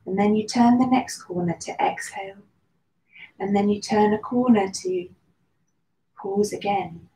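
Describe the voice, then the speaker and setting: A woman teaching meditation in a mellow, soft voice, slow and soothing with long pauses between phrases. The room sounds echoey and there is a faint hiss of background noise. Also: mid-range voice. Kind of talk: guided meditation.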